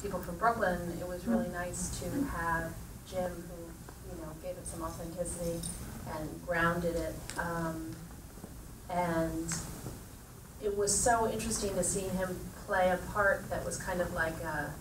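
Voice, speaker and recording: A woman's voice: on the low side, level tone, unhurried. A woman, a film producer, answering questions on a theater stage into a handheld mic, reflective and full of fillers. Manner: warm, reflective, many fillers. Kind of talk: panel Q&A answers. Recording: echoey theater, distant mic, noisy.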